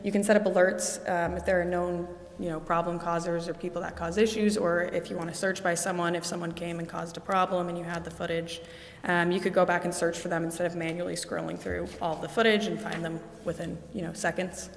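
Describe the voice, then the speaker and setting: A woman's voice: fairly low pitch, level tone, brisk, creaky, a little hiss or hum. A woman presenting a security system to a city council, explaining as she goes with many "um" fillers. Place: council chamber. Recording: echoey chamber with long reverb.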